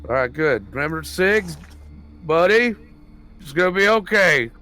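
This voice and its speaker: A man's voice: fairly high pitch, sing-song, unhurried, sharp tone, soft background music. A man playing a tabletop roleplaying game, chatting casually and sometimes speaking in character.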